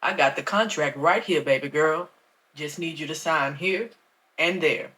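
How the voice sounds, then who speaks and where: A woman voicing a smug, scheming male pastor who talks down to people in a low, slow voice. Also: sharp tone.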